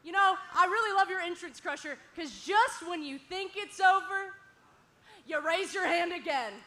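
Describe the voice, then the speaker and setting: Brief: female wrestling talk host, sharp bright tone, mocking. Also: very high voice, normal speed. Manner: sarcastic, mocking, needling. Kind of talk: wrestling talk show promo. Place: indoor arena.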